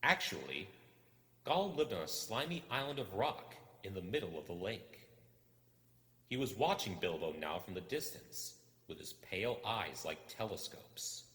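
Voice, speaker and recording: Deep-voiced, theatrical male storyteller in echo. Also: very animated. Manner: theatrical, deliberate pauses, hushed at times. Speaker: storybook reader. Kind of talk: dramatic, suspenseful scripted narration. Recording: echoey, long reverb.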